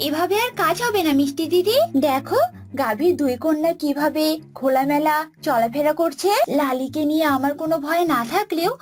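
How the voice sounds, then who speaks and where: A woman's voice: sits high, moderate pace, natural voice. A woman voicing an angry, suspicious female pigeon in a children's cartoon, agitated and threatening. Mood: anxious.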